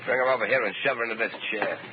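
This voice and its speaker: An actor playing a curt, menacing American gangster boss who barks slangy orders in 1930s radio style. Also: male voice, medium pitch, very fast, close to an everyday voice. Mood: bossy. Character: hard-boiled gangster boss, menacing, bossy and impatient. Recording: scratchy, noisy, narrow-band 1930s radio.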